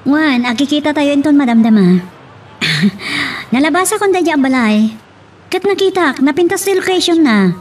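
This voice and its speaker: High-pitched, embittered scheming wife acted by a woman. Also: animated, moderate pace, distant mic. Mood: breezy. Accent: Filipino. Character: scheming, embittered wife in a failing childless marriage. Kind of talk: radio drama acting.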